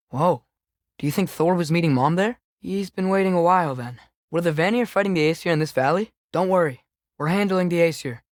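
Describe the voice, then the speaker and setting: A man's voice: a little high, no room sound, lightly acted. Young man as earnest teenage boy game adventurer, dry sound. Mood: excited, wondering. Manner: earnest, inquisitive, natural phrasing. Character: earnest, curious teenage boy adventurer travelling with his father. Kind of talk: video game voice acting, companion dialogue.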